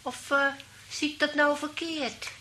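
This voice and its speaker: Casting: elderly busybody for radio drama, dawdling delivery, clear-edged bright tone, vague and tactless, slight background noise. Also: female voice, high-pitched, fairly even intonation, a little slow, low-quality recording. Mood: innocently critical. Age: older. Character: elderly lady friend, slow, nosy, innocently tactless. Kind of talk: radio play acting.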